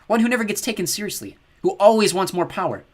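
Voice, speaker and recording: A man reads in a higher, husky voice for a brave teenage demigod girl, coaxing and earnest, with lively rising and falling pitch. She talks her enemies around instead of fighting them. The voice belongs to a storybook heroine. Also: fast talker.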